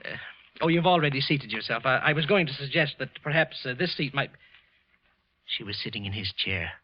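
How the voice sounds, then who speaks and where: An American actor playing a guilt-ridden office worker and murderer sliding into paranoid madness, stammering and repeating himself in a 1940s radio thriller. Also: male voice, mid-range voice, lively intonation, brisk, a little hiss or hum, close to an everyday voice. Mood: nervous, awkward. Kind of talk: 1940s American radio thriller acting, first-person narration. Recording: muffled old radio recording with music.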